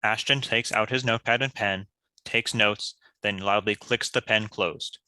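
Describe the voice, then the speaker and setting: Bright, low-pitched male script narrator. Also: fairly even intonation, a little slow, clean tone. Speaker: stage-direction narrator.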